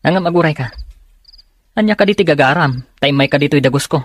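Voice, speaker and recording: A man's voice: on the high side, quick pace, no room sound, natural voice. A man playing a skeptical, questioning young man in an Ilocano radio drama. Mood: wry. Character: skeptical, inquisitive young city boarding-house friend.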